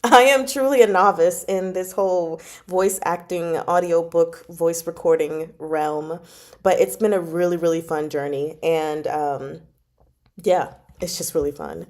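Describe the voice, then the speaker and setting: A woman's voice: on the low side, a little slow. Chatty, giggly woman on a livestream. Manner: giggly, animated, chatty, informal. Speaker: host of a book club channel and amateur audiobook narrator. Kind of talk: casual livestream chat, reading out and answering comments.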